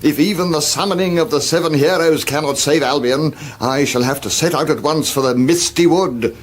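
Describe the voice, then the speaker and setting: An actor playing an elderly, absent-minded, stubborn wizard in a comic fantasy radio drama, pompous and dithering. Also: male voice, fairly high pitch, moderate pace, natural voice. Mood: stubborn, grandiose.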